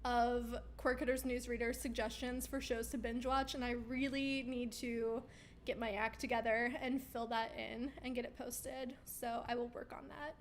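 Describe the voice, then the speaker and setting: Woman host reading chat comments on a live stream, kinda high voice getting hoarse, upbeat, lots of ums. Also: little pitch movement, moderate pace, slight background noise. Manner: chatty and upbeat, with frequent ums and easy laughs. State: losing her voice. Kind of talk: casual livestream chat, reading viewer comments aloud.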